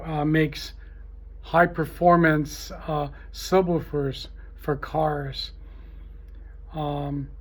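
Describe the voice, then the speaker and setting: A man hosting a trade show gives an informal, unscripted promotional talk, speaking in a halting, repetitive, salesy way. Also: a little high. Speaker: trade show host promoting his event.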